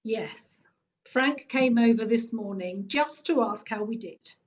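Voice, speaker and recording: A woman voicing a jovial secretive gentleman. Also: fairly high pitch, slow. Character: cheerful, secretive middle-aged gentleman.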